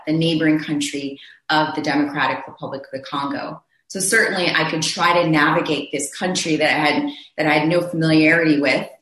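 A man's voice: fairly high pitch, sharp tone, echoey room, poor audio. A man speaking earnestly on a panel discussion, telling his own story, often restarting his phrases. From Zambia.

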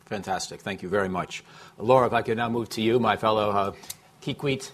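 A man's voice: fairly low pitch, brisk, fairly bright tone, slight background noise. A man moderating a panel discussion, friendly and courteous as he hands over the floor.

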